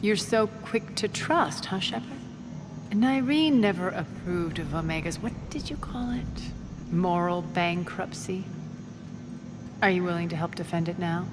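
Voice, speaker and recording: A woman's voice: fairly low pitch, animated, measured pace, noisy background. An actress playing a ruthless female crime boss in a sci-fi game, with a cool, commanding, sardonic manner. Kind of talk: cinematic video game acting. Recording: long reverb, music behind.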